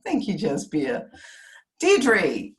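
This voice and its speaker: A woman's voice: lowish voice, huge pitch swings, drawn-out words, reverberant. A woman informally hosting an online meeting, encouraging, chatty and polite as she guides the participants. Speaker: meeting host and chair. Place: online video call. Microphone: video call.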